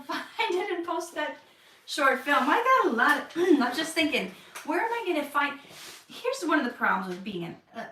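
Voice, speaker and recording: A woman's voice: a little high, bright, forceful tone, distant mic, a little hiss or hum. An actress chatting candidly in a casual Q&A, laughing easily as she answers questions. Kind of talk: casual livestream Q&A chat. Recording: roomy echo, voice from off-mic.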